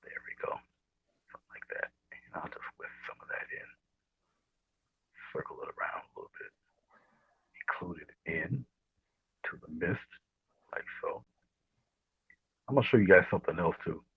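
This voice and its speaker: A man with a low, husky voice giving a casual painting demonstration, speaking softly and often trailing off into a murmur. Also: animated, normal speed, long pauses. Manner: soft, murmuring, trails off near whisper. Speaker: guest painting instructor. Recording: dull, roomy livestream audio.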